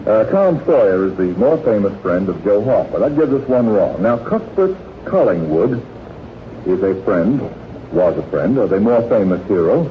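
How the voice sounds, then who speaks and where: Male 1940s radio quiz host: urbane, teasing, animated delivery, dull noisy archival sound. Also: fairly low pitch, normal speed.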